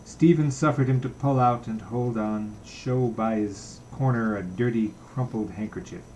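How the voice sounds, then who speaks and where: A man's voice: medium pitch, normal speed, mellow, low-quality recording. Man reading a novel, background noise. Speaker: audiobook reader. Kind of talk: literary narration, reading a novel aloud.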